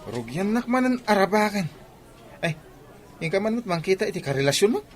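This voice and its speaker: A man's voice: high voice, very animated, a little fast. A man with an Ilocano accent playing a flustered, secretive friend in a comedy radio drama. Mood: flustered, bantering.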